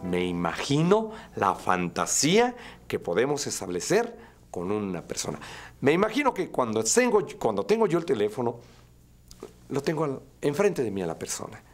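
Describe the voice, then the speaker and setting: A man's voice: medium pitch, wildly swooping pitch, moderate pace, soft background music. A man in his forties with a Mexican accent, a radio host talking conversationally in an interview. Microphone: lapel mic.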